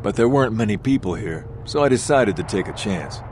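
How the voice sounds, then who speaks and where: A man's voice: lowish voice, animated, a little fast, darkish tone, a little hiss or hum, natural voice. A man playing a gossipy, self-justifying hotel keeper in a video game, sounding matter-of-fact and a little prejudiced. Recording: music behind the voice.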